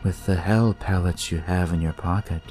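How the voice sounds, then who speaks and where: A man voicing a smug young devil-cult leader in an audio story, with drawn-out, ceremonious pronouncements. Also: deep voice, fairly even intonation, darkish tone, faint music behind, natural voice. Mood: ominous, grandiose. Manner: slow, drawn-out, ritual pronouncements.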